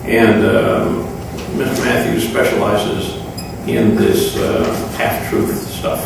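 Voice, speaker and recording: A man speaking off the cuff as an official at a public meeting, halting, solemn and aggrieved. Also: on the low side, huge pitch swings. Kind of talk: extemporaneous public remarks. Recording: very echoey room, distant mic, clear noise.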